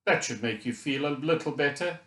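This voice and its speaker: A man voicing a cheerful, caring female nurse of about thirty in an audiobook, brisk and soothing. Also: fairly high pitch, a bit bright, reverberant, pitched above the performer's own voice, natural voice. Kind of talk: audiobook character dialogue.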